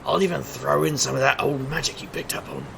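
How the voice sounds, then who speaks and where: A man's voice: medium pitch, fairly quick, vocal fry, sharp tone, slight background noise. An actor playing a cocky, wisecracking street magician and private eye in an audio drama, with a showman's wry, theatrical patter. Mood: cocky, taunting. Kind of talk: scripted audio drama acting.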